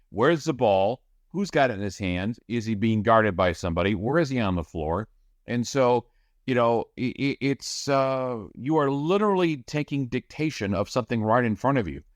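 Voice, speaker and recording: A man's voice: fairly low pitch, no echo, clean studio sound. A man, a radio play-by-play announcer, talking energetically in a casual podcast chat. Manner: energetic, explanatory, rapid description.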